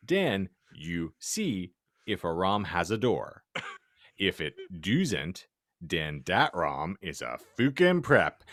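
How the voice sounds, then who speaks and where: A male podcast host giving a theatrical, mock-serious comedic reading of fan fiction with deliberate delivery. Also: on the low side, no room sound, clean studio sound.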